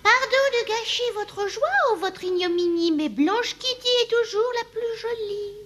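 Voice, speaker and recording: An actress playing a deferential young crystal-ball seer at a royal court, hesitant and ceremonious, in a cartoon dub. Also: female voice, very high voice, animated, a little slow. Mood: apologetic.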